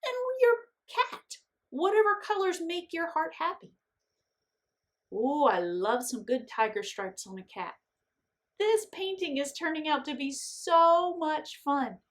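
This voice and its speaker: A woman leads a children's art lesson one step at a time, in a fairly high voice. Her pitch moves a great deal, and she takes her time between simple, reassuring instructions. Her phrasing is encouraging and aimed at young learners. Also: very animated, measured pace, fairly bright tone. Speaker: art teacher.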